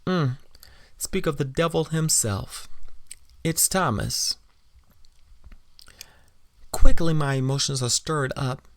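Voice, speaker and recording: Creaky-voiced man narrating a dramatic story. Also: fairly high pitch, slow, long pauses, no echo. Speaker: podcast storyteller. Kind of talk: first-person dramatic story narration in a woman character's part.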